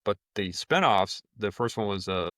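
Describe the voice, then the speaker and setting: Man, podcast host, low voice, rambling casual chat, dry close sound. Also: normal speed, no room sound. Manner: rambling, relaxed, swears casually.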